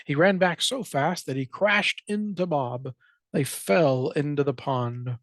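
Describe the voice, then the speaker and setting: A storyteller reading a children's picture book aloud in an expressive read-aloud style. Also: mid-range voice, takes it slowly, no echo, studio quality. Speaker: librarian, storytime reader.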